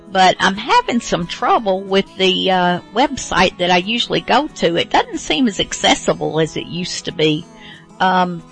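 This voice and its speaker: A woman's voice: mid-range voice, a bit bright. A middle-aged woman hosting a radio show, chatting casually and rambling with many fillers. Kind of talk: casual chat.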